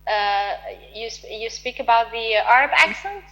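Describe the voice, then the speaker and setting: A woman with an Arabic accent moderating an online conference panel, speaking haltingly with many fillers and restarts. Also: a little high, lively intonation, fairly bright tone, low-quality recording. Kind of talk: hesitant conference chairing.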